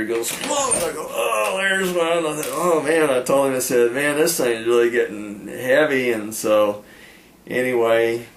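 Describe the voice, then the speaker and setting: A man's voice: mid-range voice, slight background noise. A man telling fishing stories in a casual, rambling, folksy way, often trailing into "anyway" and "uh". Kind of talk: casual storytelling. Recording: echoey room with faint ambience.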